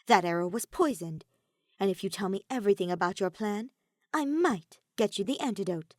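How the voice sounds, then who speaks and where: A menacing teenage girl assassin, voiced by a woman reader in a story reading. She hisses cold, curt threats at a middle pitch, close and dry. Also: expressive, normal speed, a little mellow, no echo, natural voice.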